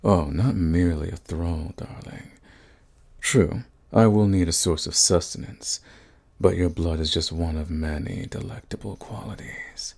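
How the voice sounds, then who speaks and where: Man acting a low, gravelly, predatory vampire lord. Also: darkish tone, heavily acted. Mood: possessive, seductive. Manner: theatrical, silky, condescending endearments, drawn-out phrasing. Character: suave sadistic male vampire lord, predatory, mocking, possessive. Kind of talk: ASMR roleplay acting.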